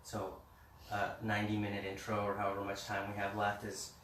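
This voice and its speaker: A man in his forties from Mexico City, leading a workshop in a gentle, unhurried way. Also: fairly low pitch, completely flat delivery. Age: 40s. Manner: gentle, unhurried, reads poem slowly. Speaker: communication trainer. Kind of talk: relaxed workshop talk with a poetry reading. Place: meeting room with a live group. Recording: roomy, distant, faint hiss.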